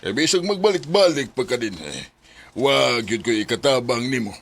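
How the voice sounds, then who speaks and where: A man's voice: mid-range voice, sing-song, moderate pace, creaky, heavy background noise. An actor playing a blunt, exasperated middle-aged father in a radio drama. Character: dismissive, exasperated father of a grown son. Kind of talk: Filipino radio drama acting.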